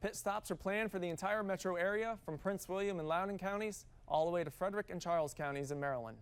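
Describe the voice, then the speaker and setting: A man's voice: high voice, fast, smooth. A man with an American accent reading scripted television news as an anchor.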